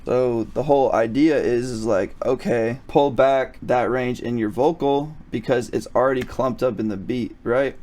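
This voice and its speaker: A man with a thin, raspy voice at an average pitch talks through a mixing tutorial. He is casual and slangy, swears freely and keeps checking that the listener follows. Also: darkish tone. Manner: slangy, profane, often checks with "right?". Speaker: music producer giving mixing tutorial.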